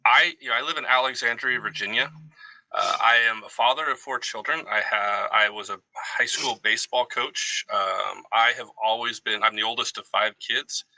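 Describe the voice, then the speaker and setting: A man's voice: mid-range voice, normal speed, bright, forceful tone, dry recording, low-quality recording. A man in his forties talking casually and reflectively as a guest, with a self-deprecating, joking manner. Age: 40s. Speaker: guest, Army veteran.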